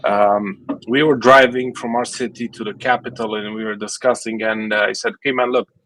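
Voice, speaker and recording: A man's voice: lowish voice, steady tone, normal speed, fairly bright tone, a little hiss or hum, rough recording. A man in his late thirties from Romania with a Romanian accent, a startup founder answering interview questions with many fillers and restarts. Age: late 30s. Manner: many fillers and restarts, fluent non-native English. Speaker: guest, gaming startup founder.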